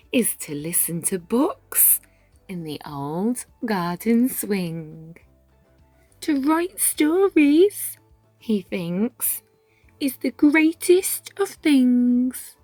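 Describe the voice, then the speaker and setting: A woman reading a rhyming children's picture book aloud, with measured, dramatic phrasing and deliberate pauses. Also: medium pitch, mellow, dry recording. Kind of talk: expressive children's read-aloud narration in rhyme. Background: music.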